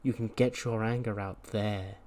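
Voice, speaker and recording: A man voicing a soft-spoken, cult-like wrestling villain, measured and halting, with a falsely soothing manner. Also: lowish voice, lively intonation. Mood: calm menace. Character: creepy, soft-spoken male cult-like self-help villain.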